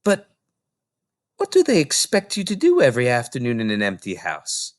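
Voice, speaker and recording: A man voicing a kindly, concerned woman librarian with a gentle, encouraging manner in a children's storybook reading. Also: medium pitch, wildly swooping pitch, normal speed, no room sound, clean studio sound.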